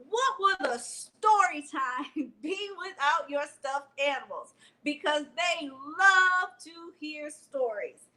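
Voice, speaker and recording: High, bright-voiced woman hosting children's story time. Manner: expressive, addressing children directly. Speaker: library story time host. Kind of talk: children's read-aloud and chatty announcements to young viewers.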